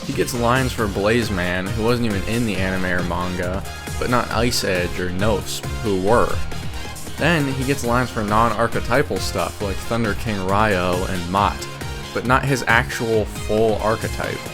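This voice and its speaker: Low-pitched man, wry commentary over music. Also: measured pace. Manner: opinionated, wry. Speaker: video creator narrating. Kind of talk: scripted commentary on a ranking. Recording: music behind the voice. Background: game music.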